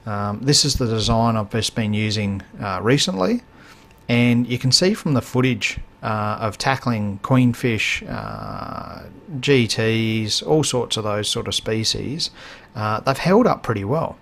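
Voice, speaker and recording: A man's voice: fairly low pitch, animated, a little slow, gravelly. A man in his thirties with an Australian accent, hosting a fishing channel in casual how-to vlog talk, plain-spoken with frequent fillers, filmed in his home workshop.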